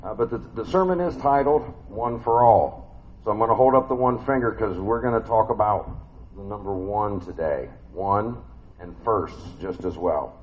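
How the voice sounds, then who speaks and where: Big-voiced man preaching a relaxed sermon. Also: on the low side, moderate pace, dull audio, slight background noise. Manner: conversational, folksy, many fillers and restarts. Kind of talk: family sermon. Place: church.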